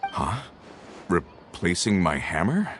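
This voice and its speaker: A man's voice: sits low, huge pitch swings, slow, a bit bright, slight background noise, full character voice. A man playing a weary, earnest, plain-spoken carpenter and family man in a video game. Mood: puzzled, pondering.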